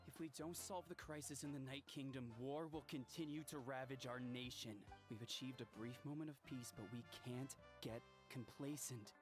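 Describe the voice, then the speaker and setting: A man's voice: medium pitch, fairly even intonation, moderate pace, few pauses, close to an everyday voice. A man playing an earnest, hopeful young man in a video game, speaking encouragingly to rally his people. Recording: music clearly behind the voice.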